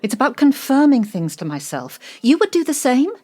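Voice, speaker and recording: A woman's voice: high voice, very animated, moderate pace, a little mellow. An actress playing a cool, controlling middle-aged woman in an audio drama, haughty, clipped and articulate. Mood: defensive, reasoning.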